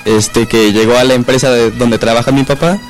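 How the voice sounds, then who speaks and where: A man's voice: mid-range voice, fairly even intonation, fairly quick, faint music behind. A young man talking casually as a bagpiper being interviewed.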